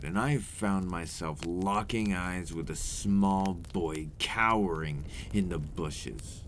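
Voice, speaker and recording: A man playing a remorseful, brooding vampire in an ASMR roleplay monologue, deep-voiced and theatrical, with deliberate, formal phrasing. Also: slight background noise. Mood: sorrowful, haunted. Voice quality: deep, breathy at times. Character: remorseful male vampire, seductive, brooding and menacing.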